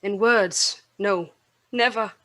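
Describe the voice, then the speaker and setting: An actress playing a sorrowful, gentle young Victorian woman in a radio drama. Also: mid-range voice, steady tone, slow pace, rough recording. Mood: grave, hurt. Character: Belle, sorrowful gentle young woman releasing her betrothed.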